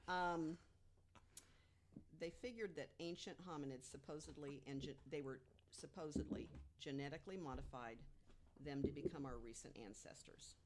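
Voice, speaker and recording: A woman with a low voice talks through researched material on a casual podcast. She is emphatic and deliberate, often setting up points with rhetorical questions. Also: background noise. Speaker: podcast guest panelist. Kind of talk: informal podcast storytelling from research notes.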